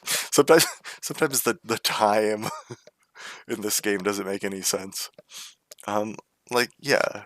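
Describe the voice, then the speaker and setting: A man's voice: fairly low pitch, slow, a bit bright, no room sound. A man streaming a game, reading its text aloud in many voices and chatting playfully about his choices. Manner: reads game characters' lines in varied voices, playful and chatty.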